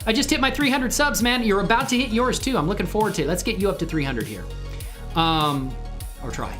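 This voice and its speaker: A man's voice: sits high, expressive, brisk. A man hosting a casual livestream, talking in an informal, enthusiastic, rambling way. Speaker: livestream host and hobby 3D animator. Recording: music faintly behind the voice. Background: music.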